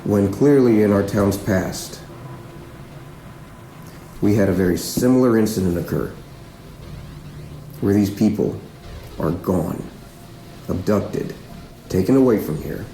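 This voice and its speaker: A low, gravelly small-town lawman, acted by a man, stern and probing. He lays out pointed questions deliberately, pausing for weight in an audio drama. The room echoes around him and music plays underneath. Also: warm tone. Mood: grave, insistent. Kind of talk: fiction podcast drama acting. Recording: roomy echo with music underneath.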